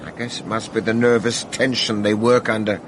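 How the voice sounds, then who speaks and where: A man's voice: fairly low pitch, steady tone, brisk, close to an everyday voice. An actor playing a world-weary, folksy veteran cameraman with a laconic manner, in a radio mystery drama. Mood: world-weary, reflective. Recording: noisy, with outdoor ambience behind.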